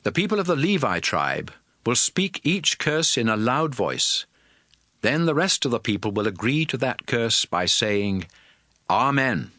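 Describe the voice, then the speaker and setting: A man's voice: mid-range voice, big pitch swings, a little slow, pushed and bright, dry recording, clean studio sound, full character voice. A man playing a commanding prophet and lawgiver in a dramatized scripture reading, solemn, emphatic and declamatory. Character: Moses, commanding male prophet and lawgiver addressing his people.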